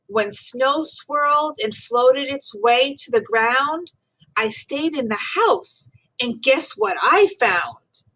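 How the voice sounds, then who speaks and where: Female teacher for children's read-aloud: high-pitched, unhurried, expressive and deliberate. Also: poor audio.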